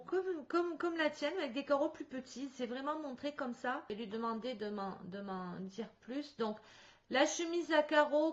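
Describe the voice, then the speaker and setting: A fairly high-voiced woman speaks over a video call whose sound is a little dull and muffled. She leads a spirit contact session as the medium, describing what she senses in stop-start phrases. She breaks into quick laughs along the way. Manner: lively, laughs often, halting restarts.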